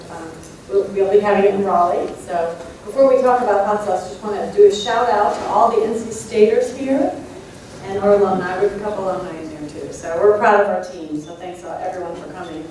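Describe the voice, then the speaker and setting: A woman's voice: medium pitch, fairly quick, a little mellow. Informal woman announcer in a very echoey room. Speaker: conference co-chair. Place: conference room. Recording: very echoey room, distant mic, noise.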